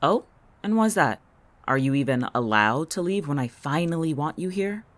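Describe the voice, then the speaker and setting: Audio drama role for an actor: sardonic, calculating town local, sharp-tongued and irritable, animated in pitch. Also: moderate pace, close to an everyday voice. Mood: probing, cold. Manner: biting, sarcastic, dry-witted.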